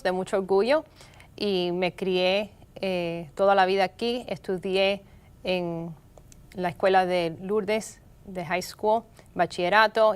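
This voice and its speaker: Middle-aged woman, smooth clear voice, measured explanations. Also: medium pitch. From Miami, of Cuban parents. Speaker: guest, state prosecutor. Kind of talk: interview answers.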